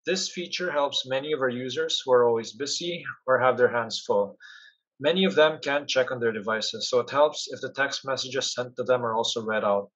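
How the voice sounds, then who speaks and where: Male demonstrator for app tutorial, step-by-step delivery, husky tone, dull lo-fi audio. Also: mid-range voice, normal speed, fairly bright tone. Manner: instructional, step-by-step. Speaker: product demonstrator. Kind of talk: software tutorial narration.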